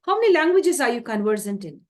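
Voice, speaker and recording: A woman's voice: on the high side, sing-song, quick pace. A woman hosting an online interview, polite and formal, addressing her guest as dear and ma'am. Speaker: interviewer, host.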